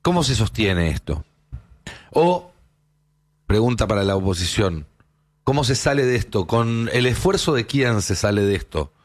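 A man's voice: lowish voice, sing-song, moderate pace, pauses often. A man with an Argentine accent hosting a political news show, giving opinionated commentary in an emphatic way with rhetorical questions.

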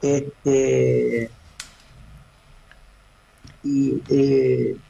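A man's voice: mid-range voice, little pitch movement, extremely slow, gravelly, dark tone, slightly rough, muffled recording. A middle-aged man from Cape Verde, a former footballer talking as a phone guest in a casual radio interview, hesitant and full of restarts and fillers. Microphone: phone call.

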